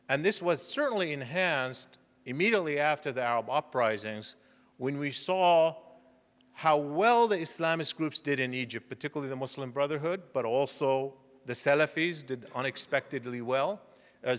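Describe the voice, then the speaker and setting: A middle-aged man giving a public lecture, explaining at a measured pace with frequent fillers and restarts. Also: mid-range voice, a bit bright. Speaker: guest lecturer. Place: lecture hall. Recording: reverberant lecture hall.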